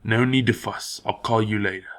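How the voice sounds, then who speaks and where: A man's voice: fairly low pitch, steady tone, moderate pace, a bit bright, lightly acted. A man playing a cruel, domineering stepfather in an audio drama, sneering and menacing as he talks. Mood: curt, dismissive. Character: cruel, domineering, hard-hearted evil stepfather, a businessman.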